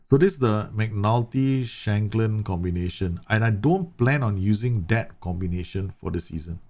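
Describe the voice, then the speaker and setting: A man from Singapore talks through a football management game on a livestream. His voice sits low and carries a gravelly fry. He explains at length, circling back with fillers and self-corrections. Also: expressive, normal speed, darkish tone. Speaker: streamer. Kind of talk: live game commentary answering chat.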